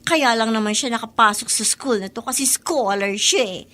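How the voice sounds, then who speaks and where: Haughty teen brat: very high, bright, whiny radio drama delivery. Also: female voice, normal speed. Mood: indignant snobbery. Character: snobbish, bratty rich third-year schoolgirl. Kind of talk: Tagalog radio drama acting.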